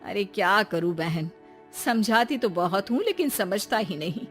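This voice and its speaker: A woman's voice: medium pitch, expressive, unhurried, a bit bright, faint music behind. A woman voicing a kindly, fretful mother-in-law in a cartoon story. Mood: worried. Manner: fretful, pleading.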